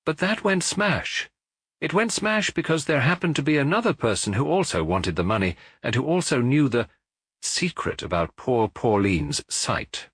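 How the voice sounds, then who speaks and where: A man voicing a little priest detective in an audiobook, gentle and earnest as he explains in a measured, lecturing way. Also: medium pitch, husky, dry recording, studio quality, pitched above the performer's own voice. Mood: grave explaining. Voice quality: gentle, even. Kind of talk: audiobook character dialogue.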